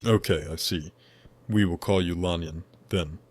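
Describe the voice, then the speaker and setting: A man voicing a wise angelic male spirit from another dimension, speaking in a measured, formal and gently curious way. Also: sits low, no room sound. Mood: calm, friendly. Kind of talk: scripted dialogue.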